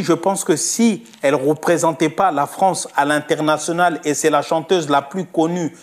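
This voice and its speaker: A man delivering a spoken opinion monologue, insistent, repeating himself and asking rhetorical questions. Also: on the high side. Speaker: commentator.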